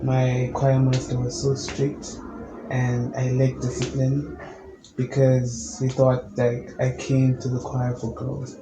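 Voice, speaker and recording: A young man with a low voice, a musician answering interview questions in a calm, measured way. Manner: calm, measured, explains at length. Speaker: musician guest. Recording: echoey room with background noise.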